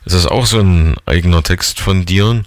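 A man's voice: sits low, moderate pace, no room sound. A man in his forties hosting a casual radio interview, asking chatty, teasing questions. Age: 40s. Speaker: radio host.

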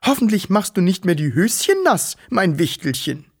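A man reading a story aloud, voicing a silly, doting middle-aged woman who coos and fusses in a high, sing-song voice. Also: sing-song, normal speed, breathy edge, exaggerated voice. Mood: cooing. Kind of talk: audiobook character voice.

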